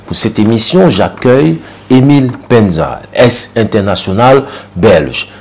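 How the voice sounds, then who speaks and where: A man's voice: fairly low pitch, animated, unhurried. A man hosting a special interview show, asking formal questions in an announcer-like way. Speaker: TV show host.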